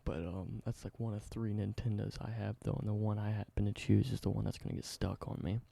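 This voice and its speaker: A man who makes ASMR videos, doing a whispered roleplay and slipping between a soft whisper and a low, unhurried speaking voice. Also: level tone, gravelly, breathy edge, no room sound. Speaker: ASMR creator. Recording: noticeable background hiss.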